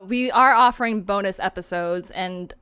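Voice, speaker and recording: A woman's voice: mid-range voice, normal speed, pushed and bright, smooth, no echo, clean studio sound. A woman hosting a podcast in a casual, chatty style. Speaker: host of a fiction podcast, cast member.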